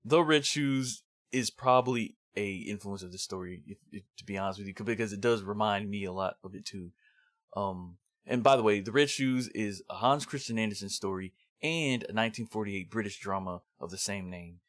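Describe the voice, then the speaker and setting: Man, low-pitched, casual review narration, rambling self-deprecating asides, dry recording. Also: moderate pace, a bit bright, no echo. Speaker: host of a manga review series.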